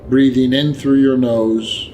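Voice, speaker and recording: Male meditation guide, medium pitch, gentle and very slow scripted delivery with pauses, over background music. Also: little pitch movement, a little hiss or hum. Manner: gentle, unhurried instruction with long pauses.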